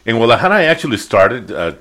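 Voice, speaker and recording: A man from Mexico, a native Spanish speaker with a Mexican accent, telling stories in an unhurried casual chat, with frequent fillers and restarts. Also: medium pitch, sing-song, fairly bright tone. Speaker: comedian guest.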